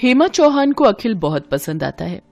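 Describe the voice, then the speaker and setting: A woman narrates a scripted story at an ordinary mid pitch. Soft music plays behind her storytelling. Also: sing-song, normal speed, lo-fi sound.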